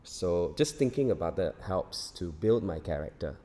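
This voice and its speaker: A man's voice: low-pitched, lively intonation, moderate pace, mellow. A man, chatting casually in a cast interview. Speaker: stage play cast member. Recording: reverberant hall.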